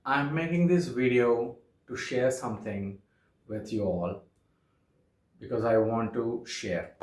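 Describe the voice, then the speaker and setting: A young man from Andhra Pradesh whose first language is Telugu, speaking English with a Telugu accent in an earnest, halting vlog monologue full of "okay" fillers. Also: mid-range voice. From Andhra Pradesh, India. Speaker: vlogger. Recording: echoey room.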